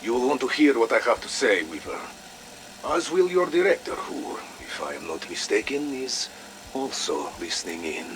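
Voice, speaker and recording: An actor playing a measured, dryly sardonic Soviet operative in a video game audio log, speaking deliberately and with pauses. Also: male voice, mid-range voice, a bit bright, slight background noise, exaggerated voice, rough recording. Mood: wry, guarded. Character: measured, wry male Soviet operative, quietly principled.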